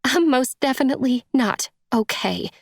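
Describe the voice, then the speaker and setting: A woman's voice: high-pitched, normal speed, vocal fry, no echo, studio quality, pitched above the performer's own voice. A woman voicing a tearful, vain young trophy wife in an audiobook, with a whiny, sniffly delivery. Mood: tearful, distraught.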